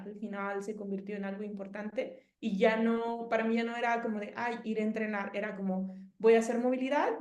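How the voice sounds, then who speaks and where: A woman's voice: mid-range voice, a little mellow, echoey room. A Mexican woman in her thirties with a Mexican Spanish accent, chatting casually as an interviewee and rambling with many fillers and restarts. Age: 30s.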